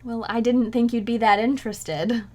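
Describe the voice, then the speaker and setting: A woman's voice: on the high side, fairly even intonation, moderate pace, darkish tone, close to an everyday voice. A woman playing a cheerful, scatterbrained young housewife in 1940s-style radio comedy, with a breezy, innocently matter-of-fact manner.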